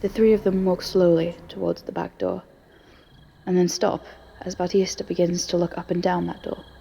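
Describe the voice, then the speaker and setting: A woman's voice: on the low side, flat delivery, normal speed, gravelly, warm tone, slightly rough. A woman reading scripted present-tense story narration as the narrator of an audio drama.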